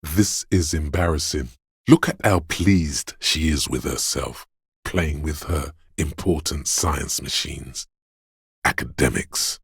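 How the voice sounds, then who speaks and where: An actor playing a menacing, paranoid inner voice of fear and aggression in a game, narrating slowly and ominously in a husky, gravelly voice. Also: male voice, very deep voice, dry recording, studio quality, heavily acted. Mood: cold contempt. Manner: ominous, slow, deliberate delivery. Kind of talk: dramatic second-person game narration.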